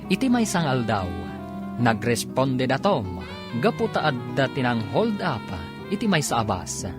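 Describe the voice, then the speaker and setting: A Filipino man announces and narrates a radio drama with dramatic, swinging intonation. His mid-pitched voice plays over clear background music. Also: big pitch swings, a little slow.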